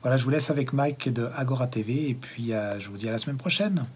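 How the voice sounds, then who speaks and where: A man's voice: medium pitch, quick pace, darkish tone. A man from Haute-Savoie in France hosting a community TV show, introducing a segment with many fillers and restarts. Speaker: host.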